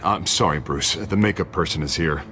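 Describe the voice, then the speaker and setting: An actor playing a middle-aged political candidate who talks hesitantly and apologetically, pleading for support. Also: male voice, extremely low pitch, normal speed, sharp tone. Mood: uneasy.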